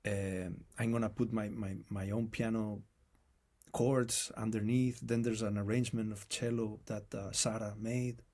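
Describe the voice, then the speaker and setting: A man with a non-native accent, speaking as an interview guest in a reflective, halting way and often restarting his phrases. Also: lowish voice, level tone, a little mellow. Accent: non-native English accent. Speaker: guest musician. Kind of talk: casual conversation.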